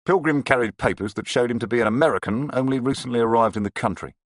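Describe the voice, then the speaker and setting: A man's voice: mid-range voice, fast talker, no echo, clean studio sound, pitched above the performer's own voice, lightly acted. A man doing a blunt police inspector. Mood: brusque. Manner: blunt and matter-of-fact.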